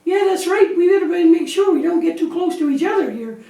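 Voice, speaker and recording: A woman's voice: extremely high pitch, expressive, fairly quick, gravelly, warm tone, exaggerated voice. A woman voicing an anxious, childlike little lamb puppet in a children's church show, naive, earnest and chatty. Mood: anxious, worried. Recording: echoey room, distant microphone.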